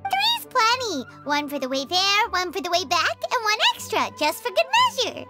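A woman voicing a tiny, eager floating fairy companion in a squeaky, childlike anime game voice. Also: very animated, normal speed, loud music behind the voice. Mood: helpful, musing. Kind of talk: anime-style game voice acting.

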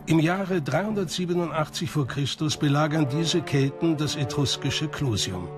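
A man's voice: a little high, moderate pace. A man reading scripted documentary narration. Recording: music behind the voice. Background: background music.

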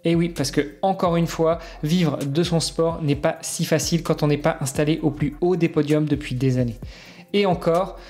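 A man with a slightly high voice hosts a podcast. His delivery is informal and chatty, and faint music can be heard in the recording. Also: fairly even intonation, moderate pace, darkish tone. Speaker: podcast host. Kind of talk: podcast intro narration and casual interview chat.

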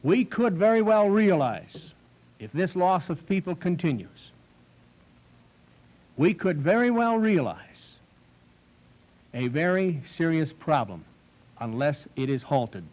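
A man in his forties, a pastor speaking in an earnest, measured way to farmers from a desk in a small studio. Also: a little high, sing-song. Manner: slow, earnest, measured delivery. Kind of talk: televised address to farmers. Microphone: desk stand mic. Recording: dull 1960s film soundtrack.

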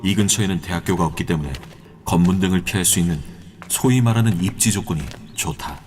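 A man's voice: sits low, quick pace, darkish tone, slight background noise, close to an everyday voice. A man playing a young male student protest leader, wary and hesitant, stammering around old friends, in a radio drama. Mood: brooding. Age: young adult. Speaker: student activist. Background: background music.

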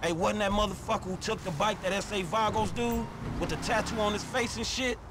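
A man's voice: high voice, steady tone, a little fast, lightly acted. An actor playing a wary, exasperated young male gangster in a video game, grumbling in profane, slangy street talk. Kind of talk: video game cutscene acting. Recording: game sound effects and noise behind.

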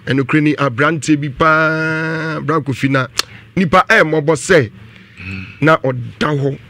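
A man's voice: on the high side, a bit bright, no room sound. A middle-aged man giving earnest, emphatic commentary on a radio panel, repeating phrases for weight. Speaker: studio panelist. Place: small indoor radio studio. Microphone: studio desk microphone.

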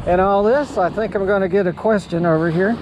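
Older american guy, high-ish voice, slow halting vlog chat outside, bit of background noise. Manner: halting, unhurried. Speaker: amateur radio operator and vlogger. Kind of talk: casual outdoor vlog narration and chat. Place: outdoors at a beachside park. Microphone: handheld action camera or phone at arm's length.

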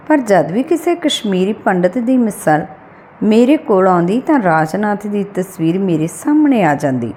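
A woman's voice: medium pitch, darkish tone, a little hiss or hum. A woman reading a literary short story aloud as scripted audiobook narration.

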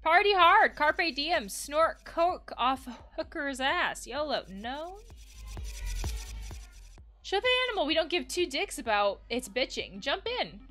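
Reckless game party friend, read by a woman, swaggering and foul-mouthed, bright and animated delivery. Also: sits high, slow pace, soft background music, pitched above the performer's own voice, exaggerated voice. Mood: goading, ranting. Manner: goading, emphatic, theatrical reading.

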